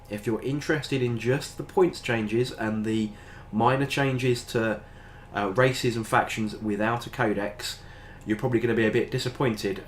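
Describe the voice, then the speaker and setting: A man's voice: on the low side, a little hiss or hum. An older British man with a stuffy, nasal head cold, casually talking through a game book review, rambling with lots of ums and uhs. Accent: British English. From Britain. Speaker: tabletop gaming channel host. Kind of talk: casual review talk to camera.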